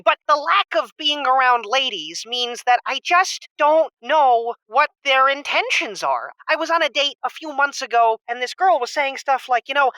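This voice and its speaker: A man's voice: very high voice, sharp tone, smooth, no echo, heavily acted, low-quality recording. A man playing an awkward, overthinking young nerd who rambles earnestly as a caller in a comedic radio drama. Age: young adult. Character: awkward, overthinking, nerdy young man calling a lonely-hearts show.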